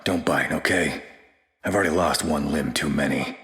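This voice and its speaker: A man's voice: rumbling low, normal speed, fairly bright tone, in a large hall. A man voicing a wry, battle-worn soldier hero with a metal arm in a video game, delivering dry quips.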